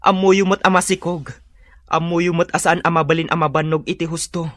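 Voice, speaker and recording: A man's voice: fairly high pitch, level tone, a little fast, a bit bright, no echo, clean studio sound, close to an everyday voice. A man with an Ilocano accent acting in a radio drama as a concerned, placating young husband, earnest and questioning. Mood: pleading, protesting.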